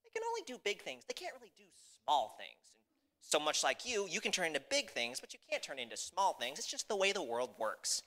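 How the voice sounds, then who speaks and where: Man acting out a theatrical, fast-talking sly cat. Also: fairly high pitch, huge pitch swings, pushed and bright, long reverb tail, exaggerated voice. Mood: sly coaxing. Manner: dramatic, persuasive patter.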